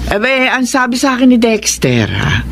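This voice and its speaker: A woman's voice: a little high, huge pitch swings, moderate pace. Middle-aged Filipino mother for radio drama: chatty, motherly, swooping delivery, faint background noise. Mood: confiding. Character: warm middle-aged mother welcoming family home.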